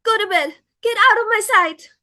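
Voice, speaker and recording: A woman's voice: very high voice, normal speed, pushed and bright, heavily acted. A woman playing an imperious middle-aged society hostess in a comic stage play, theatrical, exclamatory and easily enraged. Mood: scolding.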